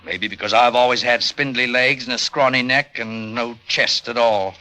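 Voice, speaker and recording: An American actor playing a wry, self-deprecating, tired older college professor in a mid-century radio drama, rambling wistfully. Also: male voice, medium pitch, fairly even intonation, moderate pace, bright, forceful tone, lightly acted. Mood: self-mocking.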